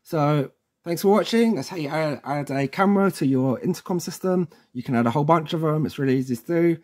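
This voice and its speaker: Man, slightly high voice, soft mellow tone, tutorial presenter, casual, chatty, step-by-step. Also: brisk. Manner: informal, chatty, frequently checks in with "okay". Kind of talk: casual instructional walkthrough.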